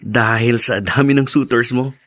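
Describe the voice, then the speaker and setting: An actor playing a tender, flattering newlywed husband in a radio drama. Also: male voice, mid-range voice, normal speed, lightly acted. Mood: adoring. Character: doting, sweet-talking newlywed young husband.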